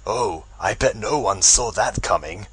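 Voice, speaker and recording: A man's voice: lowish voice, lively intonation, a little slow, a bit bright, slight background noise. A man voicing a snarky teenage boy who delivers mocking, sarcastic jabs.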